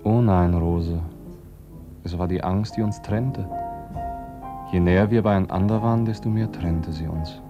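A man's voice: deep voice, unhurried, long pauses, dull audio. A man playing an earnest, protective husband in a 1950s radio play, grave and tender. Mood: grave tenderness. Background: music.

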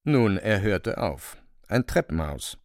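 A man narrating a literary radio drama in a creaky voice, deliberately, phrase by phrase. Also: lowish voice, very animated, a little mellow, no room sound, clean studio sound, full character voice. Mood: measured, wry. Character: measured literary narrator of a dark fantastic tale.

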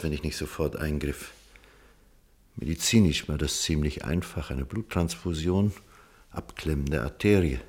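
An actor playing an earnest doctor in a German radio drama, speaking with urgent, firm reasoning. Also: male voice, deep voice, natural voice. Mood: grave narrating. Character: earnest male doctor recounting and pleading with a patient. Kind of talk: German radio drama acting and first-person narration.

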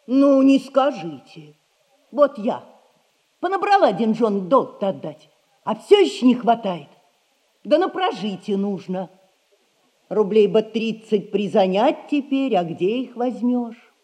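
A woman's voice: a little high, very animated, slow pace, darkish tone. An actress playing an anxious, pleading middle-aged mother in debt, in a 1960s radio play. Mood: worried. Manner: pleading, fretful. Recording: long stage reverb, 1960s radio play.

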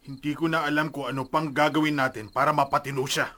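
A man's voice: fairly high pitch, level tone, quick pace, pushed and bright. An actor playing an angry, exasperated middle-aged Filipino father in a radio drama, stern and confrontational.

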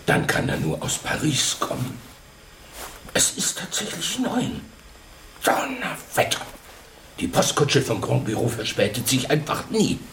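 An actor playing an excitable, knowing provincial townsman in a radio drama. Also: medium pitch, measured pace, long pauses. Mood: surprised. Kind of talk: German radio drama acting. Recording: echoey room, distant mic, faint noise.

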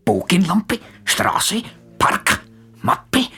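An actor playing a timid, confused male mental patient in a radio drama, breathy and disjointed, referring to himself in the third person. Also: sits high, wildly swooping pitch, very slow, sharp tone, full character voice. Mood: agitated, fearful.

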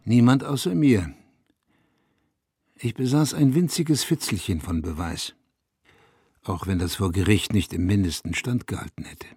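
An actor playing a wistful, bitter man in a first-person radio drama, speaking in a measured, intimate way. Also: fairly low pitch, a little mellow, slightly rough, no room sound. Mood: brooding. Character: wistful man recalling a lost idol, brooding and bitter.

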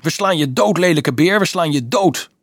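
A man voicing a small excited boy in a storybook, chanting and roaring as he plays at being a bear. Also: a little high, moderate pace, dry recording, studio quality, voice pushed up. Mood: gleeful, fierce. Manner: chanting, roaring make-believe.

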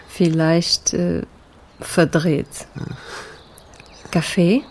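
Slow, mellow female jazz singer. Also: on the low side, fairly even intonation, slight background noise. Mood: teasing, tender. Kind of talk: naturalistic radio drama acting.

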